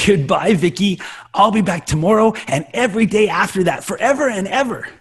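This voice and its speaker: A clingy, lovesick nerdy boy from a sitcom, put on by an adult. The voice is rough-edged and leaps around in pitch, full of melodrama and whiny devotion. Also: expressive, normal speed. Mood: eager, besotted. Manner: melodramatic, swooping between pleading and wailing. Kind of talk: live sitcom table read.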